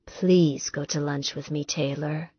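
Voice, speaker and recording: A woman's voice: low-pitched, fairly even intonation, a little slow, mellow, breathy edge, no echo, lo-fi sound. A woman voicing a contrite, determined man, the teasing hero of an audiobook romance, earnest and coaxing. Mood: pleading, teasing.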